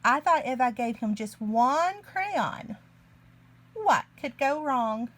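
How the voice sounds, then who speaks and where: A woman reading a picture book aloud to young children in an expressive, deliberate way. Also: high-pitched. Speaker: children's story reader, early learning teacher.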